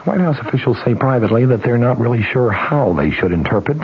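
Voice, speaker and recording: An American man reading scripted radio news in a measured, formal manner. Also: mid-range voice, expressive, a little hiss or hum. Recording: dull 1970s radio broadcast recording.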